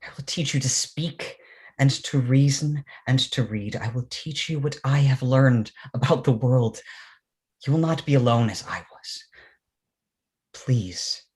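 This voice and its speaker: An actor performs a tormented monster in a staged drama, an outcast creation who pleads and threatens. The voice is husky and slow, halting and intense, moving between whispers and cries. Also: medium pitch, a little mellow. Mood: tender, hopeful.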